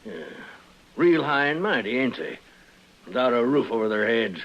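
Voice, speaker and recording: An American actor playing a stubborn, grudging old rancher in a 1950s radio western, with folksy, cantankerous rural speech. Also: male voice, mid-range voice, animated, normal speed, a bit bright. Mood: grumbling, defiant. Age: older. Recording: dull vintage radio recording.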